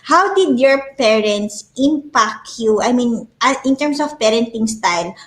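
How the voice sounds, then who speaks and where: A woman's voice: fairly high pitch, lively intonation, measured pace, low-quality recording. A woman hosting a video-call interview, friendly, often saying "you know" and "right?". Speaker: host. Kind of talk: casual interview.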